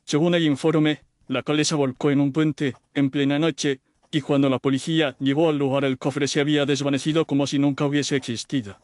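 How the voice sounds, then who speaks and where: A man's voice: on the high side, little pitch movement, brisk, hardly any pauses, darkish tone, dry recording, voice pushed up, close to an everyday voice. A man voicing a humble, anxious young insurance clerk, formal and deferential, in an audio detective story. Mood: anxious, earnest.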